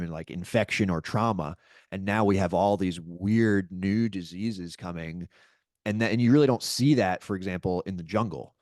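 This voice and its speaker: Deep-voiced podcast host, dry sound. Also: male voice, a little mellow, no room sound. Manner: hesitant, filler-heavy questioning. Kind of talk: casual interview questions.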